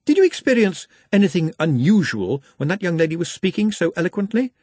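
A precise male academic wizard , played by a man, pedantic and careful. The voice is lifted in pitch, a bit husky, as in a fantasy audiobook. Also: very animated, brisk, a little mellow, no echo. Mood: inquiring.

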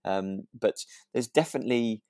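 A British man with a slightly low voice in a very dry recording, co-hosting a podcast. He chats casually and rambles a little as he thinks aloud. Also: normal speed, darkish tone, smooth, dry recording. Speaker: podcast co-host. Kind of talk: casual chat.

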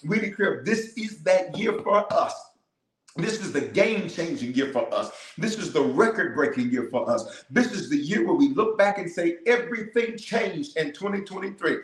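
A man's voice: high voice, lively intonation, normal speed, reverberant, low-quality recording. A man, a bishop, leading a livestreamed prayer with fervent, repetitive declarations and calls to the viewers. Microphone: cameras in front of him.

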